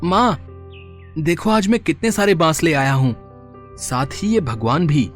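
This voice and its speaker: Cartoon village son, man performer: poor, devout, earnest, pleading, lively pitch. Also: measured pace, faint music behind. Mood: excited. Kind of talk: Hindi moral story cartoon voice acting.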